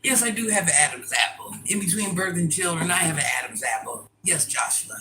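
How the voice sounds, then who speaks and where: A middle-aged woman with a deep voice, chatting casually and bluntly as she answers viewer comments in a livestream. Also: animated, fairly quick, background noise. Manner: blunt, sassy, talks straight to commenters.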